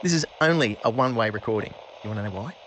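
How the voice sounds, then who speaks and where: A man's voice: mid-range voice, expressive, rapid-fire, fairly bright tone, slight background noise, close to an everyday voice, poor audio. A man with an Australian accent playing a pompous, cheeky mole-creature king in an audio drama, boastful, mocking and full of slang. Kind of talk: audio drama villain monologue.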